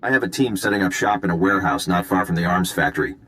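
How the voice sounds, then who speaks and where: Deep-voiced, wry intelligence agent. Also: male voice, quick pace, fairly bright tone. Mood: measured, conversational. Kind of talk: video game voice acting.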